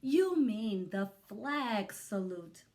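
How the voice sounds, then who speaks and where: A woman voicing young schoolchildren in a storybook who correct their silly teacher with drawn-out, emphatic singsong. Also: medium pitch, expressive, darkish tone, voice pushed up. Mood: playful correcting.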